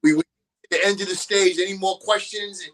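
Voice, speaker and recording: A talkative man with a somewhat high voice chats as a guest on a boxing show. His talk is loose and opinionated, rolling from one point to the next. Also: flat delivery, moderate pace, a bit bright, poor audio.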